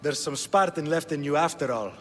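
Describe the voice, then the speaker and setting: A man acting a shrewd, coolly authoritative Spartan king in a video game, speaking formally and deliberately. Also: fairly high pitch, a bit bright, lightly acted. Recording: reverberant stone hall, music underneath.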